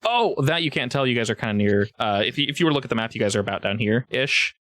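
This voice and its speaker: Animated, informal male game master. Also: mid-range voice, fast talker, dry recording, studio quality. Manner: informal, joking. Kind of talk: improvised narration of a tabletop roleplaying game.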